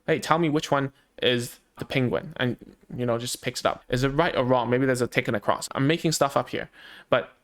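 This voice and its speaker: A man whose first language is Cantonese talks loosely through how children learn language, as a speech therapist would with parents. His pitch sits in the middle for a man, and he keeps it conversational, with frequent fillers and restarts. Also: fairly quick. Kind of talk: casual explanatory talk to parents.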